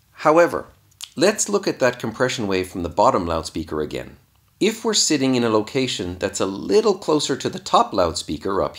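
A man giving scripted explanatory narration as an instructor, explaining things step by step in a didactic way. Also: on the low side.

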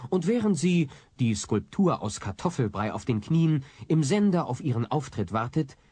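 A man's voice: mid-range voice, big pitch swings, moderate pace, mellow, breathy edge, no room sound. A man narrating a scripted comedy radio play as its storyteller.

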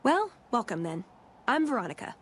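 A woman's voice: a little high, sing-song, moderate pace, slight background noise. A woman playing a friendly, wry young scavenger companion in a video game, chatty and playful. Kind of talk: video game dialogue acting.

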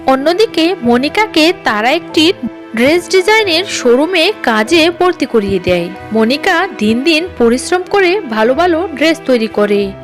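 Woman, cartoon story narrator, high pitch, scripted delivery, faint music behind. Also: sing-song, unhurried.

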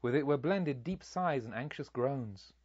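A man's voice: mid-range voice, lively intonation, unhurried, a little mellow, husky, no room sound. Man narrating, slightly dull recording. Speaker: audiobook reader. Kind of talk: scripted literary narration.

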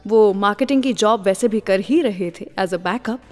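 A woman's voice: mid-range voice, moderate pace, a little mellow. A woman with an Indian accent presenting a scripted radio show as its host.

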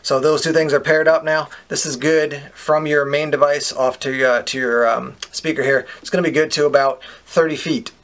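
Gravelly man demoing a gadget. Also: fairly high pitch, normal speed, hardly any pauses. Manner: relaxed, explains step by step with fillers. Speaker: product reviewer. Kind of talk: casual product demo. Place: outdoors on a paved patio.

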